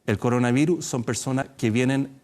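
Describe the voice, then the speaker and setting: A man, a doctor guest in a studio TV interview, explaining in a measured way with some hesitations. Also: medium pitch, darkish tone. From Chile.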